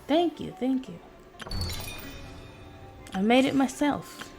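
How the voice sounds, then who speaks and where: A woman's voice: sits high, very animated, slow, gravelly. A woman game streamer chatting casually to her viewers during a livestream. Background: music.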